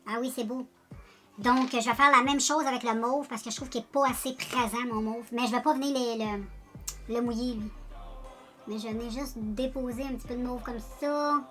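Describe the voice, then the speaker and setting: A woman's voice: a little high, steady tone, moderate pace, fairly bright tone, faint music behind. A woman with a Quebec French accent chatting casually through a makeup tutorial, rambling and joking at her own expense while speaking to her viewers. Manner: chatty, rambling, self-deprecating, talks directly to viewers. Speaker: beauty vlogger.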